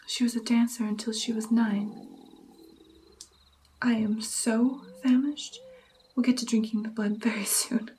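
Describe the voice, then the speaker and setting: Woman, breathy whisper, slow pace, soft mellow tone, gentle vampire mentor, intimate ASMR roleplay. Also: fairly high pitch, steady tone, a little hiss or hum.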